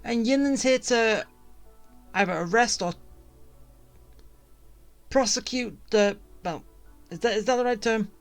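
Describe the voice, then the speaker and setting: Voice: medium pitch, unhurried, long pauses, soft background music. A game streamer giving casual, rambling and grumbling commentary while playing. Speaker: gaming streamer.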